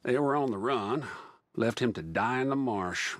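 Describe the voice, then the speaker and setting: An actor playing a cold, formal henchman in a western video game, measured, dry and pompous. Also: medium pitch, a bit bright, no echo, lightly acted. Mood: coolly businesslike. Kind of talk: video game cutscene monologue.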